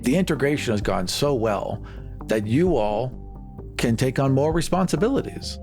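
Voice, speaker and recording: A man with a mid-pitched voice talks through a tabletop roleplaying game. He improvises conversationally, slipping into character, with faint music underneath. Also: expressive, moderate pace, darkish tone. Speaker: player in a tabletop roleplaying show.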